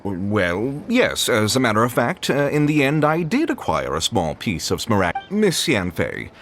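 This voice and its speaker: A fawning, greedy merchant whose voice lurches wildly up and down as he stammers and flatters. Quiet game music sits behind him. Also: medium pitch, measured pace. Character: fussy male merchant, fawning yet greedy. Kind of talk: game cutscene voice acting.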